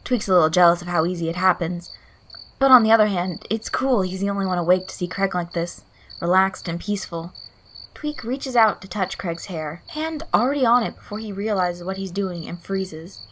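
A woman reading fan fiction aloud in an expressive storytelling style. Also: medium pitch, moderate pace, a little hiss or hum, low-quality recording. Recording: soft music behind the voice. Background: guitar loop, night insect sounds.

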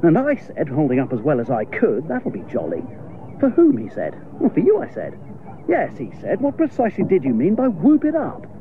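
An actor playing a breezy, chatty young upper-class English idler in a radio comedy, full of old-fashioned slangy exclamations. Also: male voice, a little high, wildly swooping pitch, moderate pace. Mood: flustered, confiding. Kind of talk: radio comedy drama acting. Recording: muffled, noisy radio play recording.

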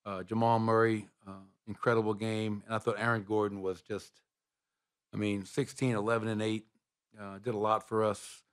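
A middle-aged man with a low voice fields questions from reporters after a game, speaking into a table microphone. His delivery stays flat and level, steady and measured, with plenty of "uh" and "you know" between points. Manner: measured, even answers with frequent fillers. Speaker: basketball head coach. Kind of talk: postgame press conference answers. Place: press room in front of a sponsor backdrop.

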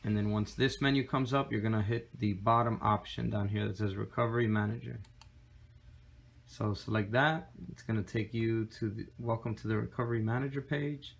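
A man's voice: fairly low pitch, gravelly. A man hosting a casual tech tutorial, explaining steps one by one with frequent fillers, recorded on a handheld phone camera in a room. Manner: relaxed, step-by-step with frequent fillers. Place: indoor room with desk and computer.

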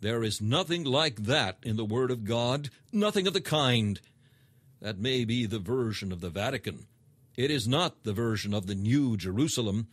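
A man reading a scripted sermon as audiobook narration in a formal, measured, emphatic manner. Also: medium pitch, expressive, husky, no echo. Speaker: sermon reader.

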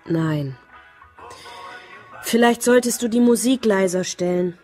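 An actress playing a withdrawn, reluctant grown daughter who answers tersely in a German radio crime drama. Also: female voice, mid-range voice, takes it slowly, darkish tone, soft background music, close to an everyday voice. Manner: subdued, terse.

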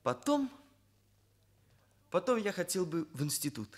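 An actor playing an earnest, self-assured young hairdresser in a Soviet radio play, with formal, stilted bookish phrasing. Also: male voice, sits high, sing-song, unhurried, heavily acted. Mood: earnest, proud. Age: young adult.

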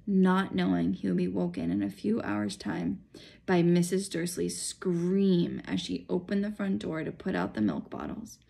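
A woman's voice: lowish voice, vocal fry, warm tone. An American woman in her thirties reading a storybook aloud as narrator. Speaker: school principal reading aloud. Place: small furnished room indoors. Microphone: phone or laptop at arm's length.

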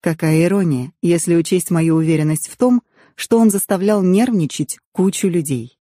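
A woman reading a novel aloud as an audiobook narrator, in expressive first-person storytelling. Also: on the low side, normal speed, no room sound, studio quality.

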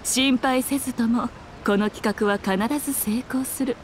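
A woman's voice: a little high, measured pace, slight background noise, lightly acted. A woman voicing a sly, self-assured fox shrine maiden in a Japanese anime game, speaking in a lordly, archaic, teasing manner. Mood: commanding, reassuring.

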